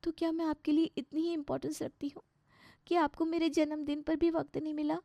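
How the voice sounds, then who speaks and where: A woman's voice: high voice, level tone, a little fast, gravelly, warm tone, no echo, voice pushed up. A woman voicing a hurt, timid young wife in a moral story, plaintive, earnest and emotional. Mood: hurt, upset. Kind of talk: dramatised Hindi moral story.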